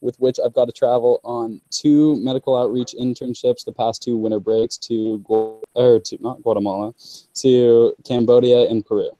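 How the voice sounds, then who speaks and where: A man's voice: medium pitch, steady tone, normal speed, warm tone, no room sound, dull audio. A young man from Alberta, Canada introducing himself in a friendly way, rattling off his activities. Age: young adult. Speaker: student ambassador. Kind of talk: casual self-introduction.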